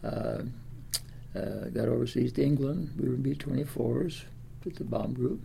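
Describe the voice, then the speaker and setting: A man of ninety with an American Midwestern accent, reminiscing in a halting, rambling way with repeated words. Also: mid-range voice, vocal fry, warm tone, a little hiss or hum. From Des Moines, Iowa, United States. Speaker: interviewee, World War Two veteran. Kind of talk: oral history reminiscence.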